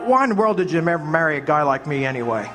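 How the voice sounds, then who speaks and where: Guy playing an earnest young family man, high voice, stumbles over words when worked up. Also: very animated, racing, over music. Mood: dejected. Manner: earnest, emphatic, stammering when upset. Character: earnest, frustrated young small-town husband. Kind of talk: amateur stage radio drama.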